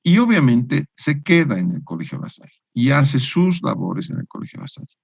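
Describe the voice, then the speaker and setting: A man's voice: mid-range voice, big pitch swings, normal speed, gravelly, no echo. A man giving an online engineering lecture to university students. He teaches in a didactic way and keeps checking in with tag questions. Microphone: video call.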